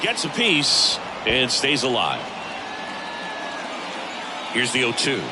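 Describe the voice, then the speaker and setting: A man playing an alert baseball play-by-play announcer in a video game, quick to rise with the action. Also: mid-range voice, very animated. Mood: alert, building excitement. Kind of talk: sports commentary in a video game. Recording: loud stadium crowd and ambience behind. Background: ballpark crowd noise.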